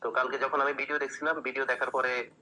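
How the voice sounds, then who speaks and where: A man from Lakshmipur, giving an informal account in a halting, repetitive way. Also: medium pitch, flat delivery, pushed and bright, low-quality recording. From Lakshmipur, Bangladesh. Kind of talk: informal spoken testimony.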